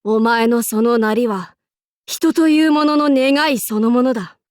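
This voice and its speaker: A woman playing a brash, cocky young feudal warlord in an anime game, with rough, masculine, archaic and boastful speech. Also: on the high side, a little slow, dry recording, clean studio sound, lightly acted. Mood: amused, resolute. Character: brash, cocky young feudal warlord, self-styled fool, fiercely loyal. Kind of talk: anime game character voice acting.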